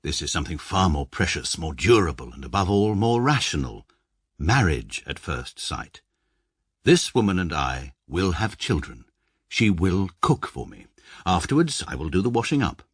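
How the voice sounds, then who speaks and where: A man's voice: low-pitched, very animated, moderate pace, breathy edge, no echo, exaggerated voice. An English actor in his thirties narrating as a pompous, precise barrister in a radio drama, dry and self-satisfied. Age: 30s. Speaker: narrator and lead.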